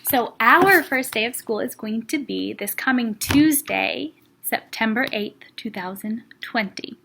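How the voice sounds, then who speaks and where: High-voiced woman teacher talking to young children. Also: measured pace, gravelly, slight background noise. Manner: expressive, reassuring, simple child-directed phrasing. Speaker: elementary school teacher. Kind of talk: read-aloud and chat addressed to young children.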